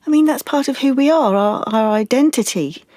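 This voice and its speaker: An actress playing an anxious, wounded young woman in an audio drama, confiding haltingly and stumbling over her words. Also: sits high, mellow, dry recording, studio quality, natural voice. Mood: upset, pleading. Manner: halting, confiding, with stammered restarts. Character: anxious, wounded young woman artist, defensive about privacy. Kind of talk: audio drama monologue and dialogue.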